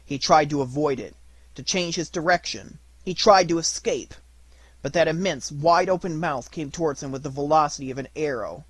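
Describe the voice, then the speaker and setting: A man narrates a children's storybook in a fairly high voice. His delivery is scripted, deliberate and dramatic, and the recording is close and dry. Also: no room sound. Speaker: audiobook narrator.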